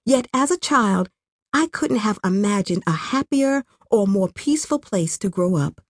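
A woman from Rwanda narrates her own memoir as an audiobook. She reads from a script at a middle pitch for a woman. The sound is dry, with hardly any room around the voice. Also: animated, normal speed, no echo.

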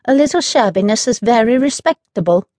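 Storybook village girl, played by an adult woman: cheerful, sly, pleased with herself, raised pitch, very animated, close dry sound. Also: no room sound, lightly acted. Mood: self-satisfied. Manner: slow, affectionate, pleased delivery. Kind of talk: children's storybook character voice.

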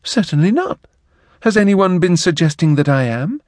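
A male reader voicing a prim, slightly deaf young woman in an audiobook, speaking in an earnest, lilting falsetto. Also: expressive, normal speed, a little mellow, no echo. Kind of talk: audiobook character voice.

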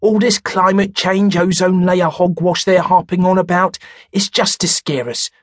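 A man's voice: high voice, fairly even intonation, unhurried, no room sound, studio quality, voice pushed up. A man playing a grumpy wheezy old pub regular. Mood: grumbling scorn. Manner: grumbling, scornful.

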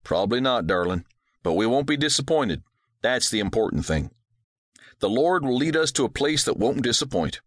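A man reads a devout widowed rancher father, gentle and reassuring in every line. His voice rises and falls with lively variation, and the recording is close and dry. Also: mid-range voice, normal speed, no room sound. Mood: patient, gentle. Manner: soft, patient, reassuring.

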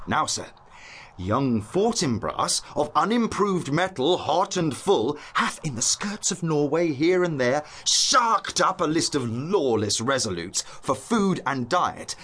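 A man's voice: fairly high pitch, fairly bright tone, slightly rough, exaggerated voice. An actor playing a learned, earnest young nobleman on night watch, declaiming verse in a dramatised Shakespearean audiobook. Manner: eloquent, declamatory verse delivery.

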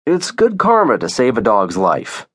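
A man's voice: mid-range voice, wildly swooping pitch, unhurried, husky, voice pushed up, exaggerated voice. A man voicing an easygoing, good-hearted dad with a coaxing, reassuring manner in an audiobook. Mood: persuasive.

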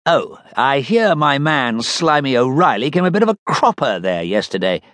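男性が演じる 尊大で気取った詐欺師の紳士. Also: male voice, mid-range voice, sing-song, moderate pace, fairly bright tone, no room sound, pitched above the performer's own voice. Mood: blustering. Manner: plummy officer-like bluster. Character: pompous conniving male con man garage owner.

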